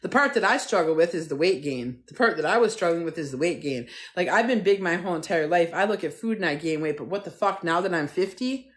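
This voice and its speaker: A woman's voice: on the low side, lively intonation, quick pace, few pauses. A woman in her fifties hosting a TikTok live, talking bluntly and profanely in a casual rant with many restarts. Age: 50s.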